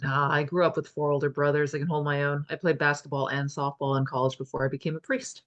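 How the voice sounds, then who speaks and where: A confident female priest played by a woman with a slightly low voice. She is plain-spoken and teasing, talking in a wry, conversational way through a comedy script read. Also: flat delivery, quick pace, dull audio, close to an everyday voice. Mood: self-assured.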